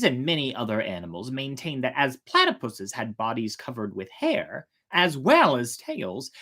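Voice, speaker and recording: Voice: mid-range voice, a bit bright. Theatrical storyteller with a widely swooping voice. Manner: theatrical, drawn-out emphatic delivery. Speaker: storyteller, host of a storytime show. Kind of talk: dramatic scripted folk tale narration.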